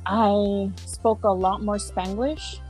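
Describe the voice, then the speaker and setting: A young adult woman from San Diego, a social worker talking casually as a podcast guest, with soft laughs and many fillers. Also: mid-range voice, steady tone, slow pace, faint music behind. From San Diego, California. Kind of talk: casual interview chat.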